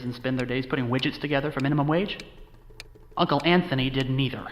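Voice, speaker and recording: A man's voice: a little high, fairly bright tone, slight background noise. An actor playing a wry, guilt-ridden young American man recounting his family history in an audio drama. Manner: wry, sardonic, confiding. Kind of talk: audio drama monologue, conversational storytelling.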